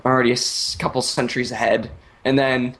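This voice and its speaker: A man improvising a joke story in a halting, stammering way while he draws, swearing often. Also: medium pitch, level tone, fairly bright tone, low-quality recording. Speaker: storyteller, host.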